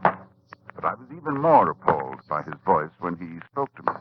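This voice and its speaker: Low-voiced, expressive learned narrator. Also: male voice, husky, no room sound, exaggerated voice. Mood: appalled. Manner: measured, formal, dramatic. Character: learned, horrified university librarian recounting events. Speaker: narrator and lead actor. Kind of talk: 1940s radio horror narration. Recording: muffled 1940s radio recording, music behind.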